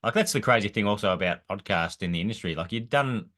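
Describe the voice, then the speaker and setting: Deep, bright-toned male host. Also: fast talker. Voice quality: deep, fairly monotone voice. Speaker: podcast host. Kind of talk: casual interview.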